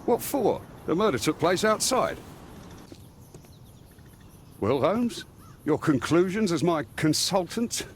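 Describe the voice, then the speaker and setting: An actor playing a mocking, easily flustered police inspector with a British accent in a video game. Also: male voice, a little high, sing-song, slow, pauses often, a little hiss or hum. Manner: mocking, dismissive. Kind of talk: video game cutscene acting.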